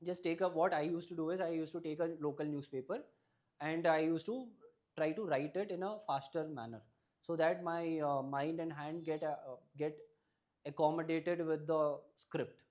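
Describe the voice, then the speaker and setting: A man's voice: on the high side, fairly even intonation, moderate pace, darkish tone. Young man, native Malayalam speaker, advising. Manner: advisory, often ends points with a questioning "right?". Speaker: exam topper giving advice. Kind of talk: explanatory interview answers.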